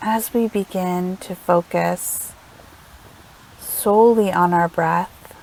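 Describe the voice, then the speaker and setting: A woman leading a guided meditation in a gentle, soothing manner, unhurried and leaving long pauses between phrases. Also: lowish voice, fairly even intonation, creaky, low-quality recording. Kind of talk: guided meditation, slow instructional narration.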